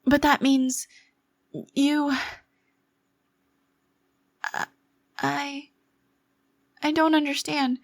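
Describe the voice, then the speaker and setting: A woman playing an obsessive, possessive yandere girlfriend, menacing yet fragile and devoted, in a dramatic, halting ASMR roleplay style. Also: high voice, little pitch movement, no room sound, heavily acted. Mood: shocked, worried.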